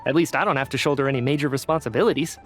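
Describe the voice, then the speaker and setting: A man's voice: mid-range voice, racing, soft background music, lightly acted. A man playing a weary, affable government researcher in a video game, polite and somewhat hesitant. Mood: apologetic, mild. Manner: polite, hesitant, sighing, expressive.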